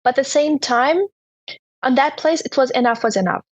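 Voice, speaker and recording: A young woman from Turkmenistan with a Turkmen accent, telling her personal story as an interview guest in a halting way, with many "you know" fillers. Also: high voice, breathy edge, no echo, lo-fi sound.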